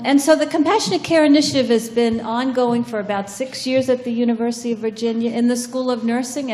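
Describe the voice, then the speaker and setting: A woman dean introducing a panel and talking about her program, pausing often on "um". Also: on the high side, a little mellow, big-room sound. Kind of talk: panel introduction and short talk. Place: large lecture room.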